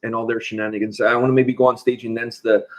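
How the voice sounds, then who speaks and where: A man's voice: mid-range voice, little pitch movement, fast talker. A man from Portugal hosting a casual livestream, chatting and answering viewer questions. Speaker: host.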